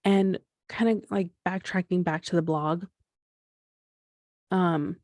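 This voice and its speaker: A woman's voice: fairly low pitch, fairly even intonation, slow, a little mellow, dry recording, studio quality. A woman in her thirties hosting a solo podcast, talking things through in a rambling, reflective way with frequent restarts and fillers. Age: 30s. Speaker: podcast host.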